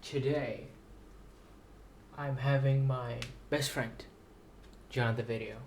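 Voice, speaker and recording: Man, halting and unhurried, slightly ill with sore throat, rambling vlog delivery, mid-low pitch range not required, light noise behind. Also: fairly even intonation. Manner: halting, repeats words. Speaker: writer introducing and reading own story. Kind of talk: slow rambling video monologue.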